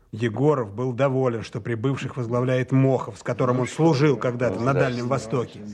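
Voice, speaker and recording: A man reading scripted, documentary-like narration in a formal, measured manner. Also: mid-range voice, darkish tone.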